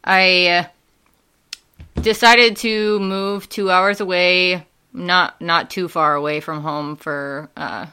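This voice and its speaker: Bright clear woman with a Wisconsin accent. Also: lowish voice, fairly even intonation, slow. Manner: laughing, rambling with many fillers. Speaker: podcast guest. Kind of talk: casual chat.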